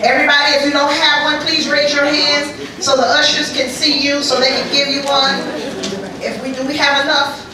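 A woman's voice: high voice, little pitch movement, normal speed, crowd noise. A middle-aged woman leading a church offering appeal, preacherly, saying amen often and asking the congregation questions. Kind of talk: call-and-response church exhortation. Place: small church sanctuary. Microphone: handheld mic at a pulpit, filmed from the pews. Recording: echoey church from a far camera.

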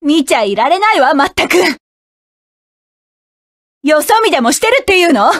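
A woman's voice: high voice, sing-song, normal speed, fairly bright tone, no room sound, clean studio sound. An actress voicing a proud, commanding adult woman mecha pilot, delivering curt battle lines for a video game. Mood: fierce, commanding. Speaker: game character.

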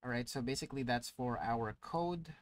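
A man giving a casual, step-by-step software tutorial as a voice-over to a screen recording, explaining with frequent filler words. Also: medium pitch, moderate pace, no echo. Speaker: tutorial presenter.

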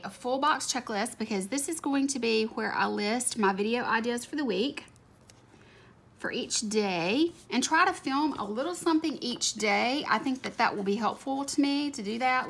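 A woman's voice: on the high side, a bit bright. Chatty woman with a scratchy voice. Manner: chatty, gushing over cute stickers. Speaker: planner video creator. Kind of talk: casual narration while decorating a planner. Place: home desk, overhead camera on hands. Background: faint room ambience, a dog snoring.

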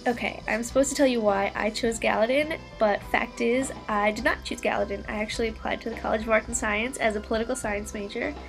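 A woman's voice: fairly high pitch, steady tone, fast, vocal fry, hardly any pauses. An eighteen-year-old woman introducing herself casually to a webcam in a bedroom. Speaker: incoming university student. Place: small bedroom, indoors. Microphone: laptop webcam at arm's length. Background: faint music.

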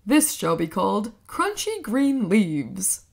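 A woman voicing a proud old male dinosaur scientist in a children's storybook, with a deliberate, drawn-out delivery. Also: mid-range voice, wildly swooping pitch, creaky, background noise, full character voice. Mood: pondering.

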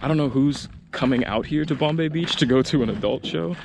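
A man's voice: a little high, a little fast, creaky. A man with an American accent chatting casually and informally as a vlog host outdoors. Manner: friendly, informal, talks with slang. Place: outdoors in the open. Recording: noisy outdoor recording with vehicle sounds.

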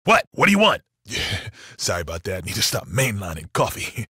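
A man's voice: lowish voice, wildly swooping pitch, fairly quick, sharp tone, slightly rough, no room sound, clean studio sound, full character voice. A man with an American accent voicing a brash, jumpy, foul-mouthed video game robber, agitated and stammering. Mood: flustered, irritated. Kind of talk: game voice lines over radio.

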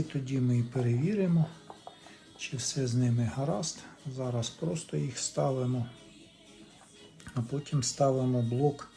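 A man with a dark, mellow, mid-pitched voice talks through hands-on repair work. He sounds like a hobbyist thinking aloud, casual and a little tentative as he goes step by step. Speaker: hobbyist repairer presenting a DIY video.

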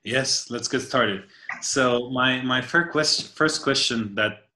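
A man in his thirties from Argentina, an engineer, speaking thoughtfully on a video call and pausing to search for words. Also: mid-range voice, sharp tone. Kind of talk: casual video call chat. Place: home room by a window. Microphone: laptop webcam on a video call.

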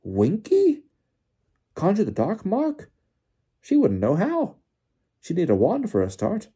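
A man voicing a flustered, excitable wizard official in an audiobook, breathless and full of exclaimed questions. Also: sits high, takes it slowly, slightly rough, pitched above the performer's own voice, full character voice. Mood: startled, incredulous. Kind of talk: audiobook character voice.

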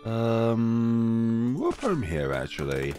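A man's voice: medium pitch, very animated, takes it slowly, warm tone, soft background music. A man with a cold narrating gameplay in a casual, wry way, talking to his game units as he plays. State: has a cold, voice deeper than usual. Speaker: game guide creator.

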